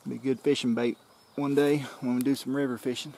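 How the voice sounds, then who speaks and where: Relaxed man vlogging by a creek. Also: medium pitch, fairly quick, creaky, a little hiss or hum. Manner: relaxed, explains as he fishes. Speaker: outdoor vlogger. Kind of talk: casual outdoor vlog narration. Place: outdoors by a small creek. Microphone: camera-mounted mic, close framing. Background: faint outdoor nature ambience.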